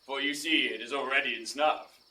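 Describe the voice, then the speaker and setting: A man's voice: medium pitch, fairly even intonation, fairly quick, sharp tone, reverberant, distant mic, rough recording. A man playing a wry courtier who heckles a play with dry quips in Shakespearean style. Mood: mocking. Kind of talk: Shakespearean stage acting.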